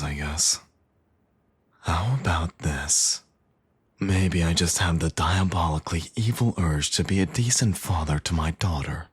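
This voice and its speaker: Man playing a smug male villain, very deep, heavily raspy, dry close sound, teasing and flirtatious, ASMR roleplay delivery. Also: no room sound, heavily acted. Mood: persuasive, coaxing.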